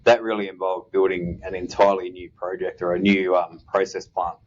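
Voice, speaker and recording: An Australian man in his fifties with a very deep voice that stays flat and even, speaking in a measured way with frequent pauses. The sound is slightly dull and lo-fi. He answers interview questions about his career as a guest. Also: slight background noise. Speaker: mining executive guest.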